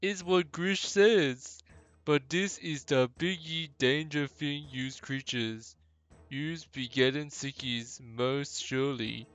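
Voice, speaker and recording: A man's voice: a little high, a bit bright, clean tone, no echo, faint music behind, voice pushed up. A man voicing a simple-minded, earnest ogre in a video game, warning people in childlike broken English. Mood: earnest, instructing. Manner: slow, childlike broken grammar. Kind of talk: hobby game voice acting.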